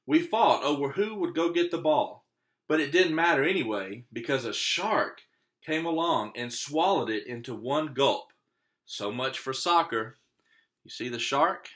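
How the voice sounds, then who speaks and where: A man's voice: mid-range voice, lively intonation, a little slow, a bit bright. A man reading a picture book aloud expressively as a teacher, stopping to put questions to young listeners.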